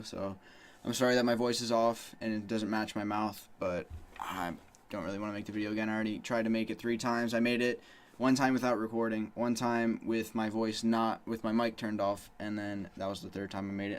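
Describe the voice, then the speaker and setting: A man's voice: on the low side, flat delivery, fairly quick. A man giving rambling, casual gameplay tips, talking loosely with lots of "you know" and "like". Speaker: gaming channel host.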